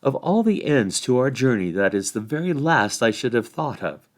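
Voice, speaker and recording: A man's voice: mid-range voice, sing-song, moderate pace, pitched above the performer's own voice, lightly acted. A man voicing a blunt, incredulous young hobbit in a fantasy storybook, given to exclaiming. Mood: indignant. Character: indignant, blunt young hobbit, startled and wary.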